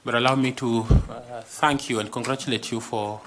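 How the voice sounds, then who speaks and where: A man chairing a selection panel, welcoming a candidate in a courteous, deliberate and formal way. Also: medium pitch, steady tone, background noise. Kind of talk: formal panel interview opening. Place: interview room. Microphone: table microphone.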